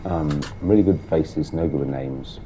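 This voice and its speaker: Man, very deep and gravelly, blocked-up and hoarse, casual muttering sim-driving commentary over a faint background hiss. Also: normal speed, dark tone, low-quality recording. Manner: chatty, muttering through cab controls. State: sore throat, blocked nose. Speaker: gameplay streamer.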